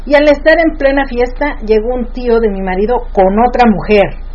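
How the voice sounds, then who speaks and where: A woman's voice: fairly high pitch, fairly quick, a little mellow, lo-fi sound. A woman hosting a radio show, chatty as she reads listener messages. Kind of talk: casual radio chat.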